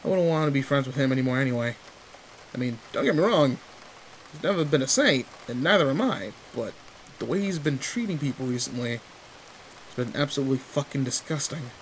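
A man's voice: medium pitch, brisk, slight background noise, close to an everyday voice, poor audio. A man playing a tall, protective, secretly sweet teenage delinquent in an intimate roleplay audio drama, talking in casual, teasing slang. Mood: candid, bitter. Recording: steady rain ambience behind voice. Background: rain in a forest.

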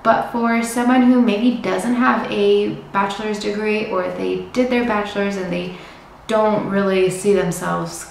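A young American woman talking casually to camera, explaining things in a chatty, informative way with some fillers, in a bright room indoors. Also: medium pitch, creaky. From United States. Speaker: vlogger, psychedelic research student. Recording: very echoey room, faint hiss.

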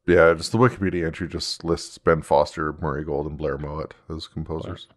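Guy with a super deep voice, podcast host, halting casual chat, keeps circling back. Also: steady tone. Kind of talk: casual fan discussion.

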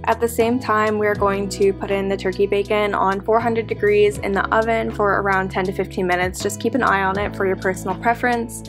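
A woman's voice: medium pitch, little pitch movement, fairly quick, few pauses. Chatty young woman walking you through meal prep in her kitchen, guitar music playing under her. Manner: chatty, upbeat, instructional. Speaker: flight attendant vlogger. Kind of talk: casual cooking vlog with voiceover. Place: home kitchen, indoors.

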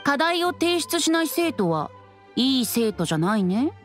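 A woman voicing a youthful, boyish bard in an anime game, playful and breezy with gentle teasing. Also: sits high, very animated, normal speed, faint music behind.

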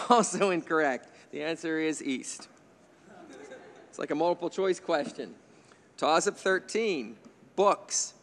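Casting: man in his 50s, slightly high voice, quiz moderator, reads questions briskly with playful banter; long hall reverb. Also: lively intonation, a bit bright. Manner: chatty, jokey asides, chuckles at his own remarks. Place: indoor TV studio stage. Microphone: desk microphone on a stand.

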